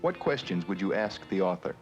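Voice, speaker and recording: Low male voice, educational film narrator, measured delivery, dull vintage soundtrack, prominent music. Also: very animated, darkish tone.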